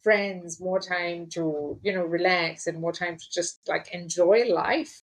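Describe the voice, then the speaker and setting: A woman's voice: fairly low pitch, a little slow, poor audio. Woman from Singapore, reflective guest. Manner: friendly, reflective, frequent laughs and fillers. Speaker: podcast guest. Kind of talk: casual interview chat.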